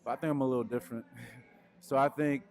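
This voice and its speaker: A young man from Peru, a musician answering interview questions in a relaxed, rambling way with lots of fillers, speaking into a handheld mic indoors. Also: medium pitch, quick pace. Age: young adult. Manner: laid-back, rambling, frequent fillers. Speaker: musician guest. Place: indoors in front of a wooden wall.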